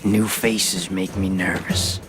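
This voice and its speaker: A gruff ex-guard turned resistance fighter from a video game. The voice is low, curt and sardonic, with a menacing edge and music behind it. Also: male voice, fairly even intonation, takes it slowly. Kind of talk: video game cutscene acting.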